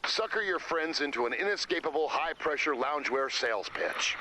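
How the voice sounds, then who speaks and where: An actor playing a wry, reluctant teammate in a video game, with dry, wisecracking delivery. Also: male voice, on the high side, lively intonation, fairly quick, bright, forceful tone, a little hiss or hum, low-quality recording. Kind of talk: video game character voice acting.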